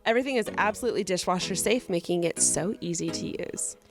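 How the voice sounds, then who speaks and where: A woman's voice: lowish voice, quick pace, music in the background. Playful young woman with a worn voice. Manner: laughs often, playful. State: voice worn from a festival. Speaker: podcast co-host. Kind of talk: casual chat and read ad.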